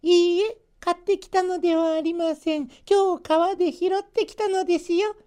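Folktale granny, male performer: very high thin falsetto, kindly, polite, singsong delivery. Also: fairly even intonation, normal speed, a little mellow. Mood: eager, welcoming. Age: older. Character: kindly, delighted old woman of a Japanese folktale. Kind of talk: storybook character voice.

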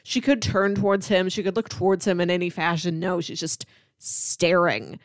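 A woman's voice: fairly low pitch, moderate pace, no echo, clean studio sound. A woman podcast host chatting casually about film, often slipping into acted-out imagined quotes.

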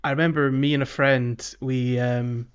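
A slightly muffled, lo-fi recording of a man with a medium-pitched voice. He co-hosts a podcast, chatting informally. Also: flat delivery, measured pace, clear, resonant tone. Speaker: podcast co-host. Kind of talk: casual chat. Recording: dull, lo-fi voice audio.

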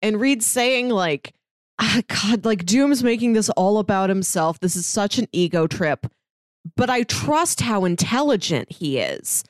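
A woman's voice: mid-range voice, expressive, unhurried, dry recording, studio quality. A woman co-hosting a podcast, casually retelling comic plots and acting out characters' lines in a conversational way. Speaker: podcast co-host. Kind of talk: casual comic book discussion, retelling plot.

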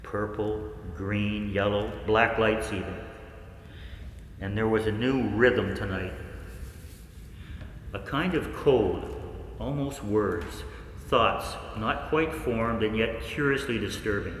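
An actor as a deep-voiced haunted scientist, echoing hall. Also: male voice, a bit bright. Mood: haunted, brooding. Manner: dramatic, deliberate storytelling. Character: haunted 1940s scientist recounting cosmic horror. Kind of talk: 1940s radio play monologue. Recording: echoey hall, long reverb, faint noise.

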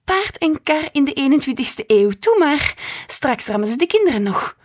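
A woman's voice: high-pitched, sing-song, moderate pace, voice pushed up. A woman voicing a fussy, complaining old grandmother in an audiobook, grumbling and indignant. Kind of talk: audiobook reading.

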